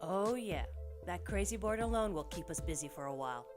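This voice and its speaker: A woman's voice: fairly low pitch, expressive, fairly quick, music in the background, close to an everyday voice. A woman playing a tough, blunt, sardonic investigator in an audio drama, slangy and wisecracking.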